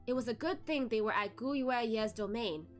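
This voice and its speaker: High-voiced woman reading a story over music. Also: normal speed. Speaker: host of a read-along reading a web novel aloud. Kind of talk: expressive story narration. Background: music behind the voice.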